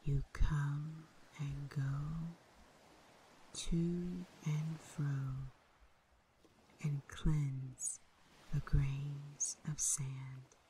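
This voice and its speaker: A woman reads a relaxing poem in a soft, whispery voice, calm and measured, with long pauses. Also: low-pitched, slight background noise, rough recording. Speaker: poetry reader. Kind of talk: slow relaxing poem recital, soft and breathy.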